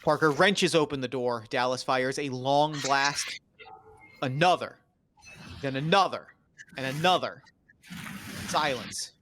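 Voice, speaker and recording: A man's voice: mid-range voice, takes it slowly, long pauses, fairly bright tone, a little hiss or hum. A man narrating stage directions at a script table read in terse, clipped, dramatic phrases.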